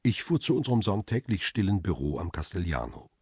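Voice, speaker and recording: A man narrating a radio crime drama in the first person, with a very deep, husky voice. He tells the story calmly and steadily, recorded close and dry in a studio. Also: fairly quick, no echo. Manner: calm, steady, reflective storytelling. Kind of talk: scripted radio play narration.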